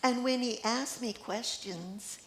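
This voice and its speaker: A woman's voice: a little high, slow pace, a bit bright. An older woman reading the part of a sociable friend catching up, in an amateur play. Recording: echoey room with faint noise.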